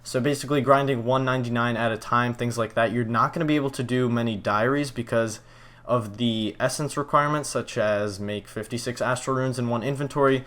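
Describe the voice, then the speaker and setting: A young man from Poland answering viewer questions in a casual vlog, relaxed and rambling, with plenty of fillers and restarts. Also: medium pitch, fairly quick. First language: Polish.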